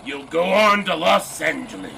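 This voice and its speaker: An actor playing a blustery, scatterbrained middle-aged newspaper boss in a period radio drama, booming and enthusiastic. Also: male voice, very animated, normal speed, creaky, sharp tone, slight background noise, full character voice, poor audio. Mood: cheerfully bossy. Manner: booming, enthusiastic, careless with details.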